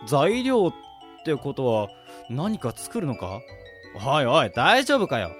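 A man's voice: mid-range voice, huge pitch swings, slow pace, fairly bright tone, faint music behind, full character voice. A man acting a wary, exasperated young guy who grumbles blunt retorts in an amateur radio drama. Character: wary, exasperated young man among drinking buddies, Kenji.

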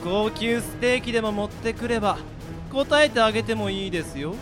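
A haughty magical beast, voiced by a man, talks down with polite, snide aloofness. The voice is high for a male, with music clearly behind it in this radio drama. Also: a little fast, close to an everyday voice. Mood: aloof, snide. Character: haughty, sardonic Cerberus magical beast, fussy gourmet. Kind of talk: amateur radio drama acting.

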